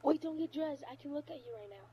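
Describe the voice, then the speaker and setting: High-pitched prank caller, deadpan woman's voice. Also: fast, dull audio. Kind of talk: prank phone call, improvised. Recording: online party voice chat.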